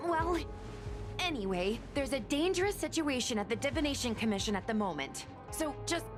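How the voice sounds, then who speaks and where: A woman's voice: high voice, animated, normal speed, over music. A woman playing a cautious young companion in a video game, speaking in a matter-of-fact way. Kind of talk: video game cutscene acting.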